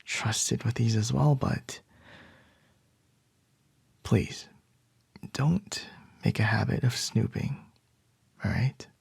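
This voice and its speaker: A man acting as a composed male CEO boss in an ASMR boyfriend roleplay, murmuring softly with a breathy, whispery voice and a gently wry manner. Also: mid-range voice, takes it slowly, long pauses, no echo, exaggerated voice. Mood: calm, teasing.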